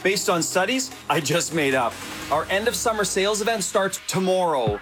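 A man's voice: sits high, expressive, normal speed, over music. A man reading a tongue-in-cheek radio commercial, upbeat. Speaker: car dealer in a radio ad. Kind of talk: scripted commercial read.

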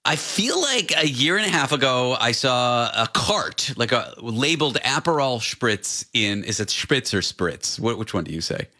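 A man hosting a radio talk show, chatting in an animated, playful, conversational way. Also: mid-range voice, moderate pace, few pauses. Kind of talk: conversational interview with scripted introduction.

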